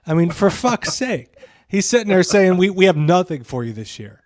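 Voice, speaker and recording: Man, fairly high voice, emphatic podcast co-host, repetitive for stress, sweary, opinionated, close dry mic. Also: very animated, normal speed, dry recording. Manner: emphatic, repeats phrases for stress, swears freely. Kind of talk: casual opinionated podcast commentary.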